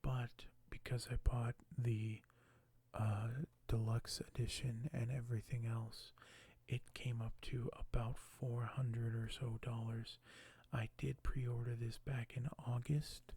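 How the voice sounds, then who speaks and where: A soft-spoken man hosting an ASMR unboxing, talking in a hushed, halting way that often nears a whisper. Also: medium pitch, flat delivery, husky, dry recording. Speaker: ASMR video host.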